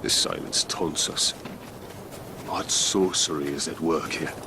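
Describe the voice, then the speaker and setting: Very deep, grave warrior. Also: male voice, fairly even intonation, takes it slowly, exaggerated voice. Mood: wary foreboding. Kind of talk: dramatic video game acting. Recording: very noisy, loud background ambience.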